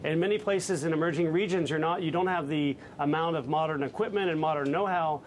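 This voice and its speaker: A man in his thirties, a fund manager in a live news interview, speaking in a measured way with many fillers, on a lapel mic in an office. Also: fairly high pitch, slight background noise. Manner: measured, hesitant with frequent fillers. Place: office with trading desks behind.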